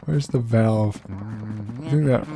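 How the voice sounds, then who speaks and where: A man's voice: medium pitch, takes it slowly, vocal fry, music in the background. A young man with a relaxing voice commenting casually on a game, swearing freely and poking fun at himself. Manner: relaxed, profane, self-deprecating rambling. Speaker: let's play host.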